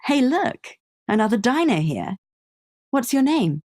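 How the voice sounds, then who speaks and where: A woman's voice: fairly high pitch, sing-song, measured pace, darkish tone, voice pushed up. A woman voicing a smug rich male Tyrannosaurus office worker with a breezy, condescending manner in a narrated story.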